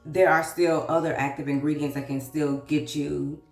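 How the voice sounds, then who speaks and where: A woman's voice: deep voice, steady tone, moderate pace, reverberant, far from the mic, soft background music. A woman vlogger chatting casually while getting ready, sounding congested from a recent cold, calling the viewer "girl.". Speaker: vlogger and author. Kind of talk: casual get-ready-with-me chat.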